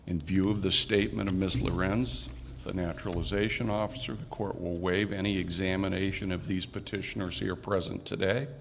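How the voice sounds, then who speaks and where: Low gravelly-voiced male judge. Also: fairly even intonation, brisk, hardly any pauses, noisy background. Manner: brief formal court phrasing. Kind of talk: presiding over a naturalization ceremony. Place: community recreation center hall.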